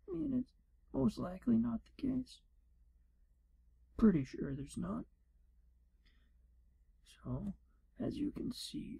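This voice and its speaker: A shifty, pompous male wizard proctor, acted out by a man for an ASMR fantasy role play. The voice is very dark and hushed, full of husky breath and heavy creak. The delivery is wry and theatrical, as if by a self-important examiner dodging questions. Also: extremely high pitch, heavily acted, poor audio. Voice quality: hushed, breathy, half-whispered.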